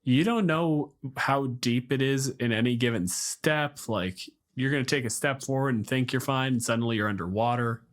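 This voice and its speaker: A man's voice: medium pitch, normal speed. A man on a podcast, chatting casually and telling anecdotes with emphasis. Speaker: podcast panelist.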